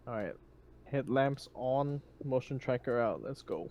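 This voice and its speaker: A man's voice: medium pitch, expressive, measured pace, darkish tone, highs cut off. A man playing a tabletop game, giving casual but decisive tactical directions.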